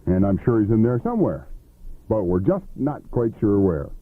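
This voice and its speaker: A man's voice: on the low side, very animated, normal speed. An American man working as a radio sportscaster, reading scores and stories on a muffled, noisy 1980s radio recording. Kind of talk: scripted sports report.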